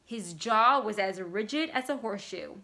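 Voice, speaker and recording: A woman reading literary fiction aloud as expressive scripted narration. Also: mid-range voice, moderate pace. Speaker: library staff story reader.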